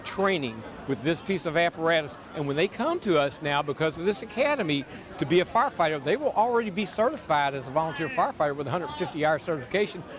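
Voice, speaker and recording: A man's voice: fairly high pitch, lively intonation, fast talker. A man who is a fire department official, speaking proudly and plainly in an on-site interview outdoors, with some restarts. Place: outdoors at a high school. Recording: crowd noise outdoors.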